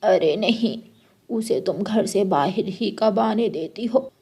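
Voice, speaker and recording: A woman's voice: mid-range voice, slow, darkish tone, husky. A woman voicing a blunt, gossipy older neighbour mother-in-law bird in a children's cartoon, complaining and giving reproachful advice. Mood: advising. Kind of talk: Hindi children's cartoon story voice acting.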